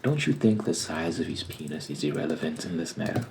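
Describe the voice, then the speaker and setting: Guy playing a hushed stiff news anchor, low gravelly voice, stammers a lot. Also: lively intonation, brisk, slight background noise, exaggerated voice. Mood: formal, probing. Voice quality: soft-spoken, half-whispered. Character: man playing a stern, formal TV news anchor. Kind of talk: ASMR roleplay.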